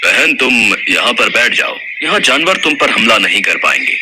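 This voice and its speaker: A man's voice: mid-range voice, expressive, normal speed, noisy background, natural voice. A man playing a hearty, bossy village brother in a folk tale, emphatic and theatrical. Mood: commanding.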